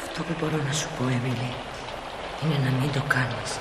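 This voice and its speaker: An actress playing a dead mother in a stage drama, a mature woman who speaks with patient, measured, gently firm gravity. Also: very deep voice. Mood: grave warning. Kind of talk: solemn stage drama acting. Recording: noisy, echoing old drama recording.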